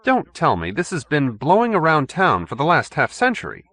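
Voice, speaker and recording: A man's voice: on the high side, wildly swooping pitch, normal speed, bright, forceful tone, pitched above the performer's own voice, exaggerated voice. A man voicing a brash, cynical newspaperman who talks in slangy, indignant wisecracks in an audiobook story. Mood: incredulous, gleeful.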